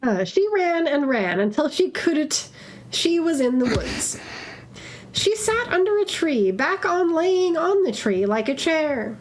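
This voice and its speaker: A woman's voice: high-pitched, sing-song, takes it slowly. A woman reading a story aloud on a casual stream, breaking off to banter with the others. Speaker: reader-narrator on a fanfiction reading stream.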